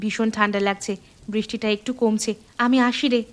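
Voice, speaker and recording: A woman's voice: mid-range voice, level tone, a little fast, natural voice. A woman voicing a caring young village woman chatting familiarly with a close friend in a cartoon. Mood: friendly concern. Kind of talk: cartoon dialogue.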